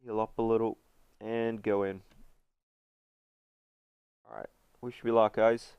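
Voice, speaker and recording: A congested man with a runny nose, chatting through a casual game guide voice-over and filling pauses with ums and uhs. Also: fairly low pitch, little pitch movement, smooth, no echo, phone-quality sound. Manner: chatty, many fillers. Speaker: gaming video host.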